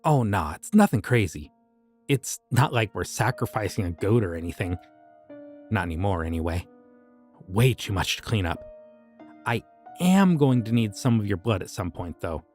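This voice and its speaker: A man voicing a friendly but creepy young occult shopkeeper in an audio roleplay, talking in a casual, easygoing way about dark rituals. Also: fairly low pitch, big pitch swings, normal speed, faint music behind. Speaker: voice actor.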